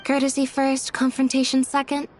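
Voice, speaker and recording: A woman's voice: high-pitched, monotone, normal speed, slight background noise, natural voice. A woman playing a confident, businesslike young fashion designer in a video game, speaking in a direct, dry way. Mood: composed, resolute. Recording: game music behind the voice.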